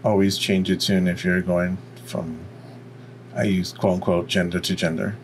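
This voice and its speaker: Very deep-voiced man explaining software. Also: level tone, normal speed, slight background noise. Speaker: tutorial presenter. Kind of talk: software tutorial walkthrough.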